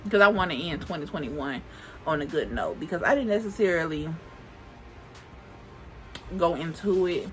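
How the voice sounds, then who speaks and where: A woman's voice: low-pitched, lively intonation, normal speed, pauses often, soft background music. A woman in her twenties chatting casually to camera while doing her makeup, rambling and restarting her sentences, with speech. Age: 20s. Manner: rambling, thinks aloud with many restarts and "like". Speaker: beauty vlogger.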